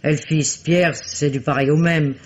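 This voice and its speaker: A woman's voice: sits low, steady tone, normal speed, lightly acted. An actress playing a talkative, gossiping village innkeeper woman in a 1950s radio drama. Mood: chatty. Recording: dull old radio recording.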